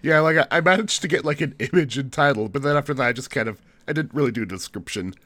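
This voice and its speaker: A man's voice: medium pitch, quick pace, fairly bright tone, no echo. Congested man rambling on a podcast. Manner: rambling, self-interrupting, jokey. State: congested from flu. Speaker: podcast co-host. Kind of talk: casual chat.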